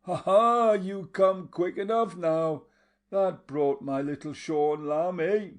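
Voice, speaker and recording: A man reading a novel aloud, voicing a coarse, half-witted young woman who mocks her companion in a rough voice. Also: a little high, animated, slow, darkish tone, voice pushed up. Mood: mocking. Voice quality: rough, jeering.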